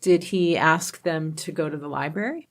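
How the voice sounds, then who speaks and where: A woman's voice: deep voice, moderate pace. A woman, a teen librarian co-hosting a podcast, chatting upbeat and laughing often. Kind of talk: casual chat.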